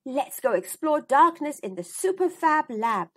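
A woman's voice: sits high, sing-song, unhurried, pitched above the performer's own voice. A woman voicing a kind, encouraging schoolteacher who instructs young children in a storybook.

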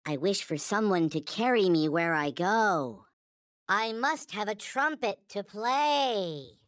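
A tiny toy space alien from a video game, naive and endlessly grateful. The voice is high and childlike, delivered at an unhurried pace with lively rises and falls. The phrasing is stilted, solemn and formal, as if everything were sacred. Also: male voice, clear, resonant tone, no echo, studio quality, exaggerated voice. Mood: solemn, reverent. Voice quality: high-pitched, childlike cartoon voice. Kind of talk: video game character voice lines.

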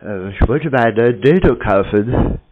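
A man speaking deadpan and tersely in a prank phone conversation. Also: mid-range voice, measured pace. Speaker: prank callee baiting phone scammers. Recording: dull lo-fi phone call recording.

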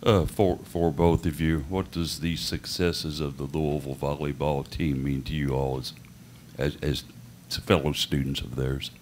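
A male reporter with a very deep, flat voice asks his questions haltingly, full of uhs and restarts. Faint noise lies under the recording. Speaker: sports reporter. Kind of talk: press conference questions.

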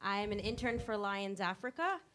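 A young woman intern, raised in Washington, D.C., introduces herself in a relaxed way. Her voice is mid-pitched, and there is faint background noise in the conference room. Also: normal speed. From born and raised in Washington, D.C. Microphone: handheld microphone.

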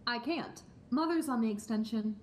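A woman's voice: on the high side, moderate pace, background noise, close to an everyday voice. A young woman playing a spirited, lovestruck small-town sweetheart in a live radio play. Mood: playful, coy.

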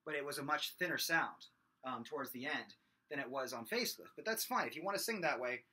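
Guy in his 30s, vocal coach, nasal whiny voice, super lively up and down, webcam in his room. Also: brisk, breathy edge. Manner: talks with his hands, demonstrates belted high screams. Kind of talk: casual singing lesson to camera. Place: small room at home, indoors. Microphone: webcam at desk distance.

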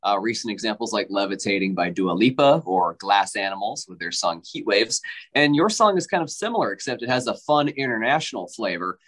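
Upbeat young radio host. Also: male voice, fairly low pitch, sing-song, fairly quick, a bit bright. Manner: upbeat, scripted-sounding questions, radio presenter delivery. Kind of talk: interview over video call. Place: indoor room with a station backdrop. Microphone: video call.

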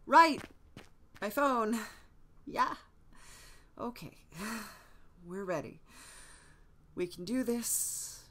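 A woman playing a nervous, soft-hearted young man in an audio drama, hesitant and flustered with sighs and stammers. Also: on the high side, animated, drawn-out words, long pauses, exaggerated voice.

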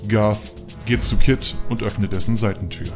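A man with a slightly low voice narrates an audio drama. His delivery is scripted storytelling, set over clear background music. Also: fairly even intonation, normal speed.

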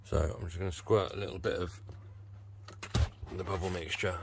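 A British man in his forties giving a low-key, casual running commentary as he does a repair, half muttering to himself. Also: fairly even intonation, normal speed, vocal fry. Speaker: vlogger demonstrating a repair. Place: covered outdoor patio by a hot tub. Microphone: handheld phone camera mic. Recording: faint ambient noise, handheld phone recording.

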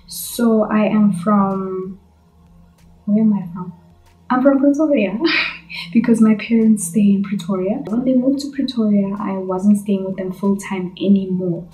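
A young South African woman talks straight to the camera in an earnest, chatty way, answering viewer questions. Her voice is mellow and soft-edged. The bedroom gives it a noticeable echo. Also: mid-range voice, fairly even intonation, unhurried, slight background noise. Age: 20s. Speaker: YouTuber. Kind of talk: casual vlog Q&A. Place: bedroom, indoors. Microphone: camera on tripod in front. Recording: echoey bedroom.

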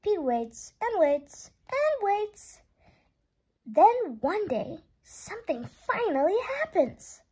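A woman reading a children's picture book aloud in an expressive way, stretching words for young listeners. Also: very high-pitched, takes it slowly.

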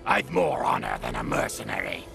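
A proud, menacing brute of a warrior growls with extreme gravel and wild pitch swings. It is video game banter over music. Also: male voice, wildly swooping pitch, normal speed, heavily acted. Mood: scornful menace.

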